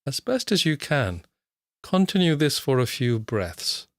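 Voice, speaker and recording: A man narrating a guided meditation as an instructor, in measured, unhurried short phrases with long pauses. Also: medium pitch, sing-song, no room sound, studio quality.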